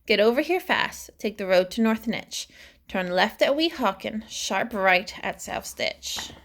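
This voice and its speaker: A woman's voice: mid-range voice, expressive, measured pace, a bit bright, pitched below the performer's own voice. A woman voicing a greedy, boastful male entrepreneur in a rhyming storybook, breezy and self-assured like a salesman. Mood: dismissive, excited. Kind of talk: rhyming storybook character narration.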